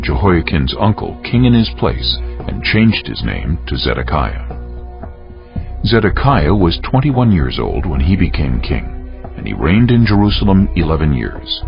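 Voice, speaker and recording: A man with an extremely low, gravelly voice reads scripture as solemn dramatic narration. His delivery is measured yet rises and falls expressively. Music plays prominently behind him. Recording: dull lo-fi sound under music. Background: prominent background music.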